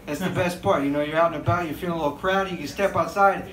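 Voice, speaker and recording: A young man performing a stand-up set on a handheld mic in a small club, animated and acting out excited bystanders. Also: a little high, quick pace. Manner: animated, acts out bystanders with big excited outbursts. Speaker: stand-up comedian. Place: small comedy club stage, indoors. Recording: echoey club room with crowd noise.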